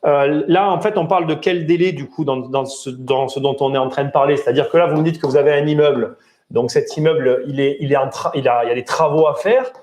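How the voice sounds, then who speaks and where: A man's voice: fairly high pitch, fast, darkish tone, reverberant. A man from southern France hosting a podcast, asking polite, probing questions on a call and then breaking the call down for his listeners. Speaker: podcast host. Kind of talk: phone interview, then commentary to listeners.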